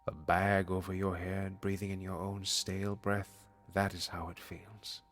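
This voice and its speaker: An actor playing a withdrawn, brooding pianist in an audio drama, with a husky, creaky voice and a terse, guarded manner. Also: male voice, deep voice, flat delivery, a little slow, fairly bright tone, slight background noise. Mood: brooding, despairing. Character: withdrawn, brooding male pianist in a strained romance. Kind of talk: naturalistic audio drama acting with inner monologue.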